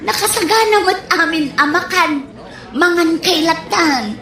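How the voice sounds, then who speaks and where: Woman acting showy Ilocano housewife, very animated. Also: extremely high pitch, a little slow, heavily acted. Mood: breezy, showy. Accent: Ilocano Filipino. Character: show-off spendthrift wife and mother, now penniless. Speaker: actor in an Ilocano radio comedy drama. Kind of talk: radio comedy drama acting. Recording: echoey room, music behind.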